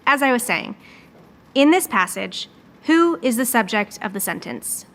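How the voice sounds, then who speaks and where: An actress playing an earnest American volunteer reading teacher in an audio drama, instructive and sincere. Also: female voice, on the high side, sing-song, a little slow, fairly bright tone, full character voice. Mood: patient, insistent.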